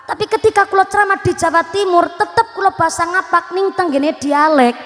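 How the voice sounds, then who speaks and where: High-voiced woman preacher with a Banyumas accent. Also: brisk, a bit bright, in a large hall, faint music behind. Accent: Banyumas Javanese. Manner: joking, teasing the crowd, switching between Javanese and Indonesian. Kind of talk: lively comic Islamic sermon.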